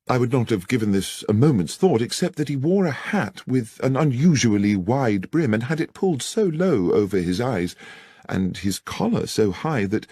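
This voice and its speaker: A man's voice: lowish voice, darkish tone, slightly rough, no room sound, studio quality, exaggerated voice. A man voicing an earnest, anxious young clergyman in an audiobook, speaking formally and with care. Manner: formal, careful, wordy. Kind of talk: dramatized audiobook character dialogue.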